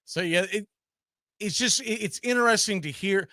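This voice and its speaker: A man with a fairly high, very bright voice hosts casual sports talk. He speaks haltingly, circling back to rephrase his points, on a close, dry recording. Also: dry recording. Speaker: host of a live baseball history radio show. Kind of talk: casual sports chat with co-host.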